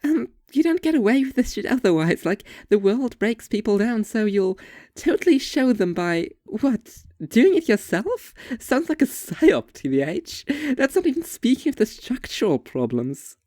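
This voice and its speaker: A woman's voice: fairly high pitch, big pitch swings, normal speed, warm tone, no room sound, clean studio sound. A young woman playing a blunt, teasing waitress in audio fiction, with sarcastic, slangy delivery. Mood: mocking, exasperated. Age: young adult.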